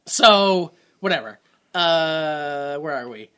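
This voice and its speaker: Bright-voiced sarcastic podcast co-host. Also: mid-range voice, expressive, slow pace, clean tone. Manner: rambling, self-interrupting, sarcastic. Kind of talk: casual movie-review chat.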